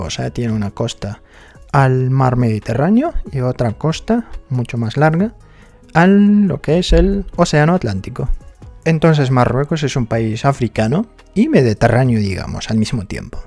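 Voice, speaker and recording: A man's voice: mid-range voice, sing-song, moderate pace, a little mellow, faint music behind. A man from Morocco with a Moroccan accent, chatting casually in a vlog, peppering his talk with fillers and tag questions. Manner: conversational, many fillers like "digamos" and "¿no?". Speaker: vlogger.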